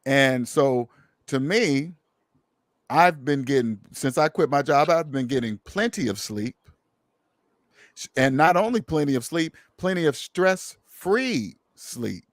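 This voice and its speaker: A man's voice: medium pitch, animated, slow, no echo. A man with a mid-range voice holds forth in a casual talk. He is emphatic and a little preachy, doubling back on his words to drive a point home. The sound is close and dry, with no room around it. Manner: emphatic, preachy, repeats himself for stress. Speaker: podcast host. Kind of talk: casual conversation.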